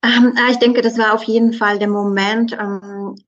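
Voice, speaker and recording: Zögernde Frau mit polnischem Akzent, dumpfer Klang. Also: female voice, on the high side, little pitch movement, normal speed, highs cut off. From southeastern Poland. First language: Polish. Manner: hesitant, many fillers. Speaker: guest. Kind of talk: conversational interview.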